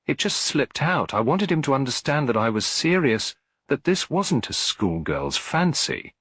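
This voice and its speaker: A man's voice: medium pitch, big pitch swings, measured pace, a bit bright, breathy edge, no room sound, studio quality, voice pushed up, exaggerated voice. A man voicing an anxious, guarded English daughter of twenty in a detective audiobook, emotional and defensive.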